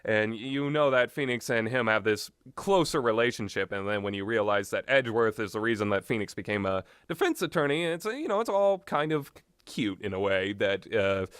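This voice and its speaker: A man's voice: mid-range voice, a bit bright, clean tone, dry recording, clean studio sound. A man giving casual, rambling opinions as a video game commentator, blunt and casually profane.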